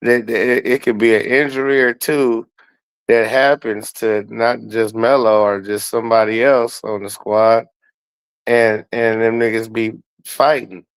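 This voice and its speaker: Man with a clear, smooth voice talking sports. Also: mid-range voice, level tone, a little slow, rough recording. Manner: profane, slangy, repeats words as he makes his point. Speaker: guest commentator. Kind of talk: casual sports talk with opinions and heavy slang.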